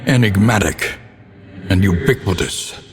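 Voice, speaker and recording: Gothic game narrator: older haunted scholar, ominous and solemn, very unhurried, heavy reverb over faint noise. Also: male voice, sits low. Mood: brooding reminiscence. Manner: ominous, solemn, theatrical, ornate phrasing. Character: grim, learned older male narrator, brooding and haunted.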